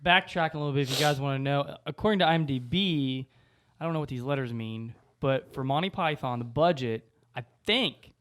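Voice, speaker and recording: A man's voice: mid-range voice, fairly quick, fairly bright tone. A man talking casually on a podcast, reading out facts and figures in conversation.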